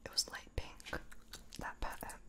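A woman in her twenties in a whispered ASMR roleplay as a makeup artist, breathy and nonchalant, rambling in halting bits close to the mic. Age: 20s. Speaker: ASMR performer role-playing a makeup artist. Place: small room at home, indoors. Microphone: close mic, facing camera.

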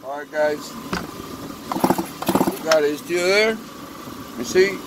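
A Spanish-accented man with a slightly high voice talks casually into a handheld phone in a cluttered workshop. He coaxes his machines along like pets while he explains things for his buyers. An engine noise sits clearly under his voice. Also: slow. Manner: chatty, talks to the machine as if to a pet. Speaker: eBay parts seller. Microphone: phone camera held close.

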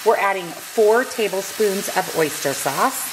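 A woman's voice: medium pitch, lively intonation, unhurried, background noise. Upbeat woman in an echoey kitchen. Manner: upbeat step-by-step instructions. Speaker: cooking vlogger. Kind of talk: meal prep voice-over. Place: home kitchen.

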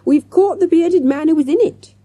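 A woman voicing excited, outspoken schoolchildren in a children's storybook. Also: a little high, brisk, breathy edge, dry recording, voice pushed up, full character voice. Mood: excited, shouting.

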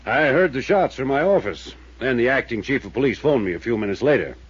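A husky, placating town mayor. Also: male voice, medium pitch, animated, a little fast. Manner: formal, placating, officious. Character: smooth, evasive male small-town mayor. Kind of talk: 1950s radio drama acting. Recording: dull old radio transcription.

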